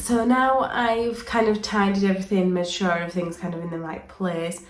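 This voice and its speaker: A woman with a cold, chatting casually through a home vlog and filling pauses with frequent ums. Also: medium pitch, level tone, over loud music. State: full of cold, sore throat. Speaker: vlogger. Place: indoors, home playroom. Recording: echoey room.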